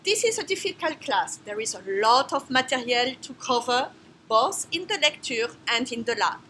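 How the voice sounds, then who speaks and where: A woman's voice: a little high, animated, normal speed, pushed and bright, a little hiss or hum. A woman from France with a strong French accent, introducing herself as a professor of a course in careful, non-native phrasing. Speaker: biology professor.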